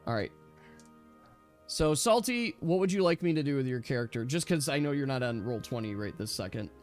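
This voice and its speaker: A man acts as game master for a tabletop adventure over music. His pitch moves around in a lively way as he lays out the action step by step and puts questions to players. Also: fairly quick. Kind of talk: narrating an online tabletop role-playing game. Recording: music behind the voice.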